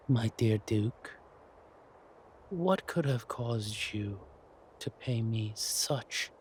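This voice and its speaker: A man acting a menacing, silkily mocking villain king in an audio roleplay, taunting with deliberate words and drawn-out pauses. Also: mid-range voice, a little mellow, slight background noise. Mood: cold mocking menace.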